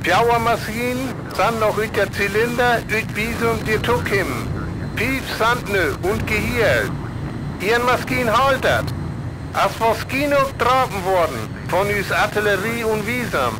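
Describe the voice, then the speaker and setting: An actor playing a military bomber pilot in a North Frisian radio play, speaking with a North Frisian accent in clipped reports. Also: male voice, sits high, measured pace. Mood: tense, official. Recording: noisy aircraft cockpit, loud engine drone.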